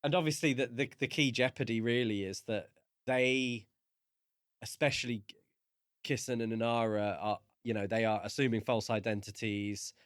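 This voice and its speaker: Mid-pitched man, casual podcast co-host, dry sound. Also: fairly even intonation, normal speed, no echo. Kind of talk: casual book discussion chat.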